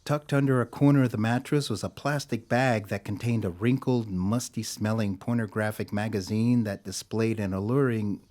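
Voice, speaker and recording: A man narrating a scripted audio drama as a storyteller, with a measured, wry, theatrical delivery. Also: on the low side, darkish tone, lightly acted.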